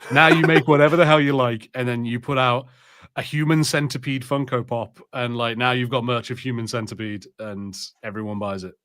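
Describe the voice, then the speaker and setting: A man's voice: mid-range voice, fairly even intonation, a little fast, fairly bright tone, no room sound, clean studio sound. A man co-hosting a podcast, chatting casually and jokily about films, with some swearing. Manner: informal, jokey, sweary. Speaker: podcast co-host. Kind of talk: casual film chat on a watch party.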